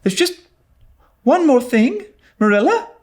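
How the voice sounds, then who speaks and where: An excitable young girl from a storybook, acted out by a man, chattering in a very high voice. Her pitch leaps about dramatically as she pleads and gushes with breathless earnestness. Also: unhurried, full character voice. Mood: pleading.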